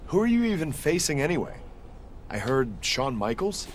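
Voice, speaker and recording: Casting: arrogant young wrestling rival, swooping intonation, scornful game dialogue, light ambience behind. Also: male voice, on the high side, very animated, moderate pace, slight background noise. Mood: smug. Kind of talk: acted video game cutscene dialogue.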